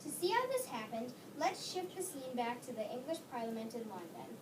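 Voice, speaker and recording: A woman reading narration in a readers theater history play, steady and informative. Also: fairly high pitch, a little fast. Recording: distant mic, clear background noise.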